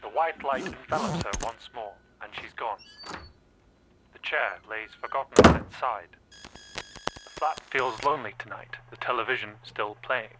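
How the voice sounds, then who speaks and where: A man's voice: mid-range voice, measured pace, heavy background noise, close to an everyday voice. A man playing an anxious, watchful machine observer, narrating an audio drama in clipped, rhythmic phrases. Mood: hushed, somber.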